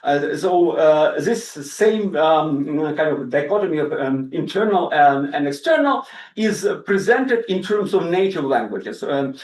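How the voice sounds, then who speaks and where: A man giving an academic lecture as guest speaker, halting, with many fillers and repeated words. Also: a little high, big pitch swings, darkish tone. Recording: roomy, distant lecture-hall sound.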